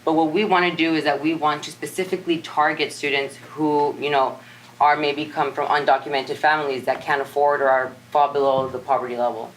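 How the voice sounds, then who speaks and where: A man's voice: on the high side, little pitch movement, brisk, fairly bright tone, a little hiss or hum, low-quality recording. A teenage boy presenter answering questions at length, earnest and wordy, with many fillers. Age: teens. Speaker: student presenter.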